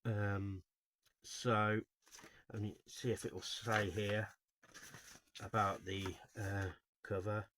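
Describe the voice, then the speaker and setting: A man giving informal, unscripted video commentary as a host, in a halting, rambling way with frequent self-corrections. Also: lowish voice, little pitch movement, background noise. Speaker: host of a video series on album cover art.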